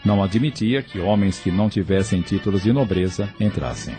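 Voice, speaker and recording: A low-voiced Brazilian man narrates an audio novel in a dark, mellow tone. The reading is scripted storytelling, with faint music behind it. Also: brisk.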